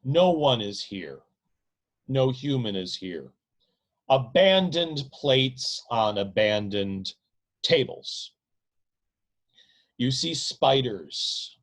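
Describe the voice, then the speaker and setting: Slow, deliberate man reading literary fiction. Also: mid-range voice, very animated. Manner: deliberate, emphatic, repeats phrases. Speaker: author reading own novel. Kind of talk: dramatic literary reading aloud.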